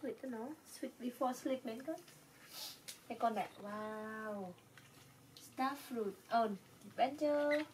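A woman's voice: a little high, slow, darkish tone. A young woman talking casually to pet animals in a coaxing way, repeating short words. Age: young adult. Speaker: pet monkey caretaker. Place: small indoor room, on a bed. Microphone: camera mic a little way off. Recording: distant mic with clear background noise.

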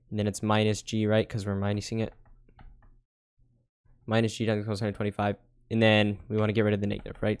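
A man's voice: lowish voice, clear, resonant tone. A young man casually talking through a physics problem step by step on a digital whiteboard, often checking in with "right?" and correcting himself. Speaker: tutor.

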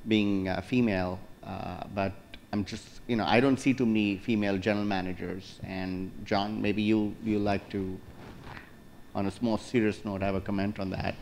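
A middle-aged man moderating a conference panel, brisk and jokey as he fires off questions on a lapel mic. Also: fairly low pitch, level tone, gravelly, darkish tone, slight background noise. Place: large conference hall stage.

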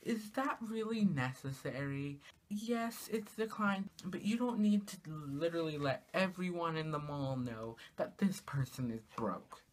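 A teenage girl vlogging from her small bedroom, talking casually to the camera and ranting in an exasperated way, her pitch jumping up on rhetorical questions. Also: lively intonation, measured pace, few pauses. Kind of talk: casual comedic rant to camera. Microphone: camera in front of her, at arm's length.

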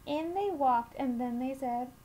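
High-voiced young woman reading slowly to children. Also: a little mellow. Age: young adult. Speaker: children's storytime reader at a school. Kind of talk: reading a picture book aloud to children. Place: small room at home, indoors. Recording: noisy home webcam recording.

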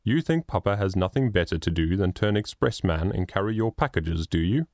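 A man's voice: sits low, animated, brisk, darkish tone, dry recording, clean studio sound. A man reading a storybook, voicing a cheery, teasing father in a bluff, hearty tone.

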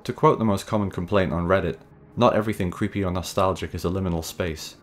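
A man hosting a video essay, reading scripted narration in a measured, wry, conversational way. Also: low voice, steady tone, a little hiss or hum. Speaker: host and narrator of a video series. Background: background music.

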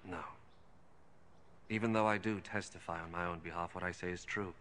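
An actor in his thirties playing a calm, authoritative holy teacher in a biblical film, speaking deliberately and earnestly. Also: sits low. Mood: calm, firm. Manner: deliberate, declarative.

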